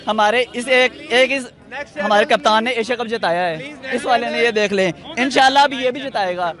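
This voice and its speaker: Eager young fan over a noisy crowd. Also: male voice, very high voice, moderate pace. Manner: eager, shows off his poster. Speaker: fan and graphic designer. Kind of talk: street opinion. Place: outdoors at night in a crowd. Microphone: handheld mic held by interviewer.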